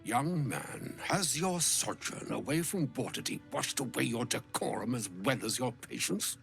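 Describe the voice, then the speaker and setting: Pompous old wizard, archaic wording, creaky voice, sweeping pitch, video game fantasy. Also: male voice, a bit bright, heavily acted. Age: older. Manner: pompous, archaic, ornate speech. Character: ancient famed wizard, kindly, grumbling, pompous old man. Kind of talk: video game character acting.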